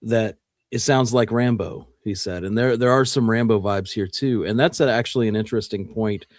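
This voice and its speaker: A man co-hosting a radio show, offering casual commentary in a measured, reflective way. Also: on the low side, no room sound, clean studio sound.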